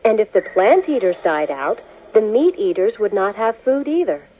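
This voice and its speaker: A woman narrating a children's educational book, reading in a clear, measured, explanatory way as if to young listeners. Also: medium pitch, big pitch swings, mellow, a little hiss or hum, poor audio. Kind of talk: scripted storybook narration.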